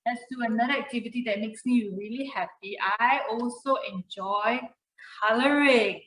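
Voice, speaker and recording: A woman's voice: on the high side, normal speed, a bit bright. A woman hosting a children's puppet show, encouraging and explaining activities step by step. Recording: roomy, echoey sound.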